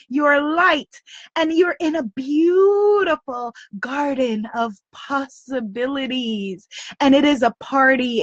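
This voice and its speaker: A woman's voice: very high voice, fairly bright tone, dry recording, lo-fi sound. A woman leading a guided visualization, speaking soothing, repetitive affirmations. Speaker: meditation guide.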